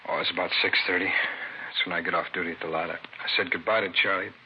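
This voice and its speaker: An actor playing a distraught, pleading parking-lot worker, halting and emotional, in a vintage radio drama. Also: male voice, sits low, fairly even intonation, lightly acted. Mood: grieving, halting. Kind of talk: 1950s radio drama acting. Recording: dull, faintly noisy old radio recording.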